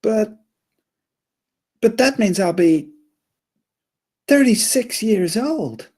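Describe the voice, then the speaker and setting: A man playing a naive, earnest eleven-year-old boy with a questioning manner in an animated comedy table read. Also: high-pitched, drawn-out words, slightly rough, heavily acted. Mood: bewildered.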